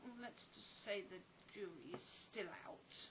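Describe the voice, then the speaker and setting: A woman's voice: mid-range voice, animated, unhurried, bright, forceful tone, a little hiss or hum. A woman playing a flustered, self-deprecating actress in an audio play, chatty but hesitant and prone to stammering. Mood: hesitant, kind.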